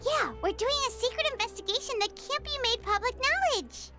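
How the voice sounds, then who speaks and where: A woman voicing a small, excitable female fairy companion in a game, who talks about herself in the third person. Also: extremely high pitch, fairly quick, heavily acted. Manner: refers to herself in the third person, lively and eager. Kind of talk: game voice acting. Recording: game dialogue over loud music.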